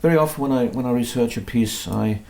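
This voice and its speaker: A man's voice: medium pitch, fast, creaky, a little mellow, a little hiss or hum. A man, a composer being interviewed in his music room, talking in a modest, hesitant way with many restarts. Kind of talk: relaxed conversational interview.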